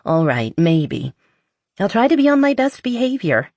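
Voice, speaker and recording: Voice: medium pitch, big pitch swings, moderate pace, creaky, a little mellow, no room sound, clean studio sound, voice pushed up. A narrator voicing a smug, competitive but good-humored woman who teases in a romance audiobook. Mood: mock grumbling. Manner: smug teasing.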